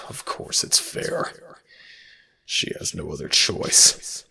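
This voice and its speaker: A man playing an ancient, protective god in an intimate ASMR audio roleplay, speaking in a halting, intense way with a gravelly, breathy voice. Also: rumbling low, sharp tone, full character voice, low-quality recording. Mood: distressed, disbelieving. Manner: halting, drawn-out, intense delivery.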